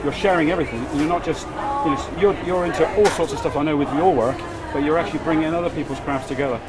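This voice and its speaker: A man's voice: on the high side, poor audio. A man who works as a sign artist, explaining his craft in a casual outdoor interview and agreeing eagerly with the host. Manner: eager, lots of "absolutely" and quick agreement. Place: outdoors at a busy event.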